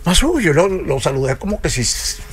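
An older man from Venezuela, a salsa musician, telling unhurried stories in a radio studio interview and acting out the dialogue he quotes. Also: a little high, big pitch swings.

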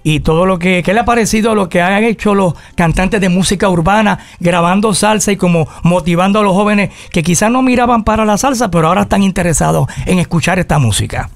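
High-voiced radio host. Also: male voice, vocal fry. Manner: energetic, quick interjections. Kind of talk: lively radio interview. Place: radio studio.

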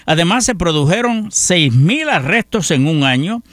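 A man's voice: on the high side, very animated, normal speed, fairly bright tone, no room sound, studio quality. A middle-aged Puerto Rican man with a Puerto Rican accent hosting a news roundup, chatty and folksy, talking to listeners as friends. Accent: Puerto Rican Spanish. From Puerto Rico. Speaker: radio news host. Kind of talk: news roundup with personal commentary.

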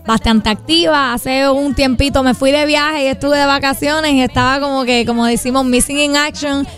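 A woman's voice: high voice, fairly even intonation, moderate pace, a bit bright. A young woman with a Puerto Rican accent, talking in an informal, flowing way as a singer-songwriter in a radio interview. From Puerto Rico. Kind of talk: conversational promotional radio interview.

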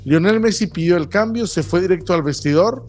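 A man runs through sports results on a radio morning show, brisk and colloquial with a joking edge. His voice is high for a man. Faint music sits under the talk. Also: darkish tone. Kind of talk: sports news rundown and banter.

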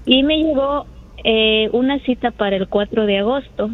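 A woman from Ecuador phoning a radio show, asking for advice in a hesitant, halting way. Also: a little high. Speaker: caller. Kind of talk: hesitant phone inquiry. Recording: dull phone-in line.

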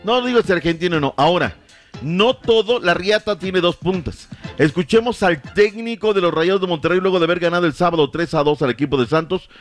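Emphatic man hosting sports talk, higher-pitched voice. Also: brisk, no room sound, soft background music. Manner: emphatic, rhetorical, formal wording. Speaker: sports talk show host. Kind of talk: opinionated sports discussion.

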